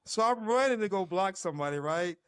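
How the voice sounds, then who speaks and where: Animated man telling football stories. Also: sits high, fairly quick, smooth, dry recording, clean studio sound. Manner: animated storyteller with laughs. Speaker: former football player, guest. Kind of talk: casual reminiscing conversation.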